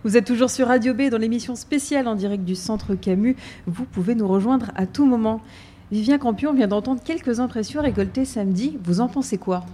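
A woman presenting a live radio special and putting questions to a guest in a composed, clear way. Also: fairly high pitch, fairly quick, dark tone. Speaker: radio host.

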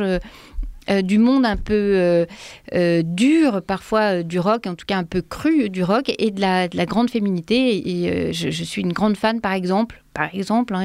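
Woman singer guest, creaky voice, dry close mic, halting delivery. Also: mid-range voice, a little mellow, dry recording. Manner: hesitant, many fillers and restarts. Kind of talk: radio interview, casual.

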